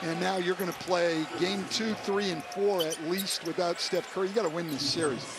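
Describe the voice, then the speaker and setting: A man's voice: high voice, fairly even intonation, moderate pace. A man working as a basketball color analyst, giving emphatic, opinionated live commentary. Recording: very noisy relayed game broadcast. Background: loud arena ambience, bouncing ball.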